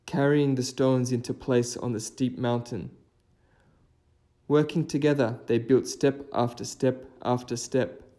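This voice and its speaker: Mellow-voiced man telling trail stories. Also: medium pitch. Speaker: hiking vlogger and storyteller. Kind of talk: casual trail commentary and folktale narration.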